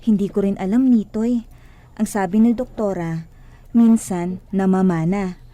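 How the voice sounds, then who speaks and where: A woman's voice: mid-range voice, fairly even intonation, measured pace, dark tone, natural voice. An actress playing an earnest, devout and hopeful woman facing illness in a radio drama. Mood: calm, hopeful.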